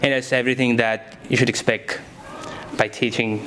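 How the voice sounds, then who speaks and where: A man's voice: mid-range voice, level tone, normal speed, fairly bright tone, a little hiss or hum. A young man, answering interview questions as a science teacher in simple, enthusiastic phrases.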